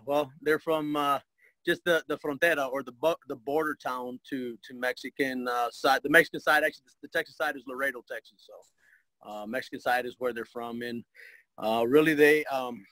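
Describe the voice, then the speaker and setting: A man from Michigan, telling his life story as an interview guest, hesitant, with many pauses and restarts. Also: mid-range voice, bright, forceful tone, no room sound, low-quality recording. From Michigan, USA. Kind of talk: casual spoken life story.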